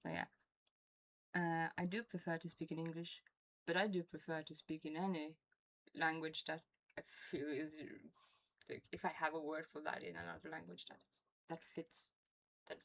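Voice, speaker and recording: A woman's voice: low-pitched, level tone, moderate pace, vocal fry, a little hiss or hum. A woman from Norway with a Norwegian accent, chatting casually on a game stream with a toothache, rambling and laughing often. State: has a toothache.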